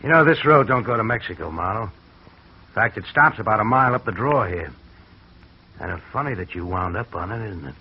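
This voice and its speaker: An actor playing a wary escaped convict in a 1940s radio drama, suspicious and clipped. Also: male voice, on the low side, lively intonation, fairly quick, slightly rough. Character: wary male escaped convict posing as farmhand. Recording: muffled old radio recording.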